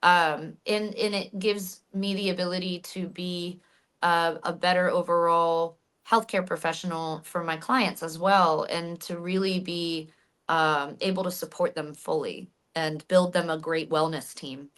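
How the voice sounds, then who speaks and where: Low-voiced woman in easygoing interview chat. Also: measured pace. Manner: animated storyteller, frequent fillers and restarts. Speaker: guest, stunt actress and hypnotherapist.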